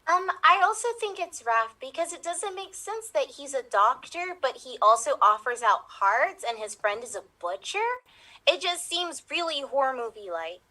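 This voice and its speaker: A woman's voice: high voice, big pitch swings, moderate pace, bright, forceful tone, distant mic, full character voice. A woman doing an earnest hippie girl. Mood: suspicious. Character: young nature-loving hippie woman.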